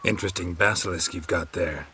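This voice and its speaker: An actor playing a stoic, dry-witted monster hunter in a fantasy game, terse and deadpan. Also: male voice, sits low, brisk, slight background noise. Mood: dry, sardonic. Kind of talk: scripted fantasy game acting.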